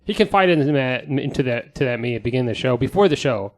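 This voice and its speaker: A man's voice: mid-range voice, expressive, fast, faint music behind. A man hosting a podcast in casual, joking group chat, rambling and correcting himself as he goes. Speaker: podcast host.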